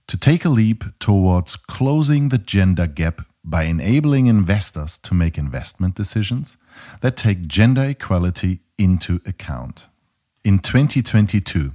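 A man hosting a podcast, reading a measured, formal introduction and then asking interview questions. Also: low-pitched, warm tone. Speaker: podcast host.

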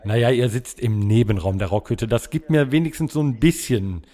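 A man's voice: medium pitch, moderate pace, dark tone. A man with a German accent hosting a casual podcast remotely from a room at home. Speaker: podcast host. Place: quiet room at home, on a remote video call.

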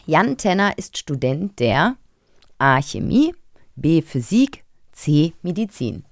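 Lady cohost, lowish voice, bouncy intonation, playful teasing, dry studio. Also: sing-song, takes it slowly, gravelly, no room sound. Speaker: podcast co-host, quizmaster. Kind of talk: casual chat.

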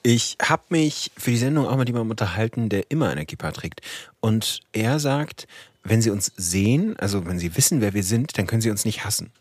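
A man hosting a podcast, asking measured questions and reading a composed closing. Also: lowish voice, expressive, husky, no echo. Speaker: podcast host. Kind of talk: interview questions and scripted wrap-up.

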